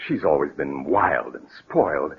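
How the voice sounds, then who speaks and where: An actor playing a stern, disapproving brother in a 1940s radio drama, earnest and moralizing. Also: male voice, rumbling low, lively intonation, a little slow, heavily acted. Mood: concerned. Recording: muffled, raspy old 1940s radio recording.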